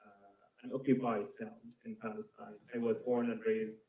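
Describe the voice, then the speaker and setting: A young man from Syria, a student protester, giving a measured, earnest statement at a press conference. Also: on the low side, flat delivery. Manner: measured, earnest, repeats words when searching. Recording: echoey phone-like outdoor press audio.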